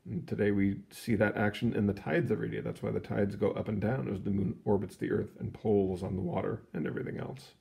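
A man reading a children's science book aloud in an expressive storytelling manner, stretching words for emphasis, with casual asides. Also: lowish voice, vocal fry. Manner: animated, storytelling delivery with drawn-out emphasis. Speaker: read-aloud reader.

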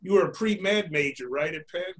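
Soft-spoken host on a video call. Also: male voice, fairly high pitch, moderate pace. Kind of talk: casual interview. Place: home room with wood paneling.

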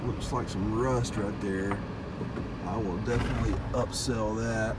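A man's voice: on the low side, steady tone, measured pace. A young man talking casually to viewers while driving, filmed on a phone inside a car. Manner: casual, chatty, addresses viewers as guys. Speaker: pressure washing business owner. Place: inside a parked or moving car. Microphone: phone camera held at arm's length. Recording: phone recording in a car with road noise. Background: car and outdoor noise.

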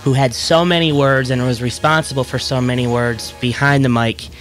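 A man offers a tribute in a medium-pitched voice, recounting personal anecdotes. Music sits faintly behind him. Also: steady tone, moderate pace, fairly bright tone. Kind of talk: spoken tribute, telling an anecdote.

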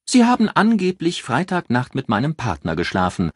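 A man's voice: medium pitch, wildly swooping pitch, moderate pace, slightly rough, dry recording, clean studio sound, pitched above the performer's own voice. A man voicing a self-assured career woman in an audiobook, with a cool, drawling, ironic and taunting manner. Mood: taunting, frank.

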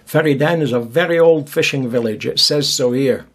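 A man's voice: medium pitch, normal speed. A man narrating his own seaside video in a casual, chatty way with wry asides. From Scotland. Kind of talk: casual voice-over commentary.

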